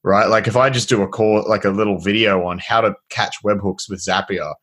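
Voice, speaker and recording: A man with a lowish, husky voice chats loosely on a podcast, thinking out loud with plenty of fillers. He sounds relaxed and informal and swears lightly now and then. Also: a little fast. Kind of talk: casual podcast conversation.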